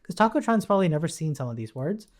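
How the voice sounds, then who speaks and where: Low-voiced, animated woman teaching informally. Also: quick pace. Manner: informal, checks in with "right?". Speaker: tutorial instructor. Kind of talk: casual technical screencast explanation. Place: indoors at a computer.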